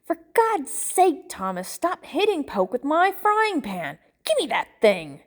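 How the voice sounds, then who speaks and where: Woman acting male bartender, menacing, exasperated, deliberate threatening delivery, audiobook thriller interrogator. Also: on the low side, very animated.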